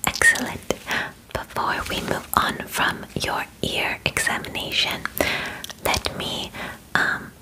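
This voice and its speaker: A woman in her twenties playing a calm, clinical alien examiner in an ASMR roleplay, whispering formally and reassuringly close to the mic. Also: lightly acted, rough recording. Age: 20s. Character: calm, methodical female alien examiner inspecting a human. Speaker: ASMR performer. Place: bedroom studio, indoors. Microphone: close ASMR microphone.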